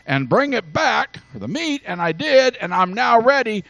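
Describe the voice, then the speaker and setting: A male preacher voicing Esau, a big, tough grown hunter, in a pleading, strained, high-pitched voice. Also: big pitch swings, measured pace, fairly bright tone, no room sound, muffled recording, exaggerated voice.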